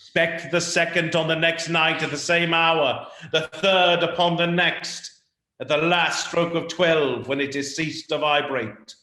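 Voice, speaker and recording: A tormented male ghost declaims slowly in a roomy echo. His grave, remorseful words swell and sink with theatrical weight, in the manner of a radio play. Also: a little high, steady tone. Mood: commanding, ominous. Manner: solemn, drawn-out declamation with big swells and hushed drops. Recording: roomy, echoing sound.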